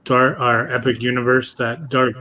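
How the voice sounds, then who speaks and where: A man's voice: mid-range voice, steady tone, moderate pace, sharp tone. A man in his thirties hosting a live podcast panel, chatting eagerly and jumping in on others. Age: 30s. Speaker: podcast host. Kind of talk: casual chat.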